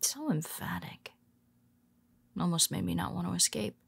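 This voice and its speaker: A woman playing a weary, teasing female superhero who speaks softly to her nemesis, often dropping to a whisper. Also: on the low side, animated, moderate pace, vocal fry, husky, full character voice. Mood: wry teasing. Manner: teasing, soft-spoken, slips into whispers. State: tired. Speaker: audio roleplay performer. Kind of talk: intimate audio roleplay.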